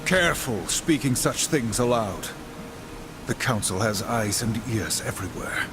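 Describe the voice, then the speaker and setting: A man's voice: medium pitch, expressive, unhurried, background noise, lightly acted. An actor playing a wrathful, duty-bound horseman of the apocalypse in a game, stern, solemn and terse. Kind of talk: video game cutscene acting.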